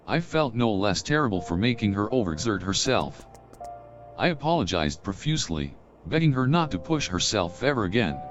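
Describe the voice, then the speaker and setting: An actor playing a wistful, courtly middle-aged gentleman in a video game, speaking in a formal, flowery storytelling manner. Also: lowish voice, highs cut off. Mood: regretful. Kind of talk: dramatic scripted video game dialogue. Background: game music.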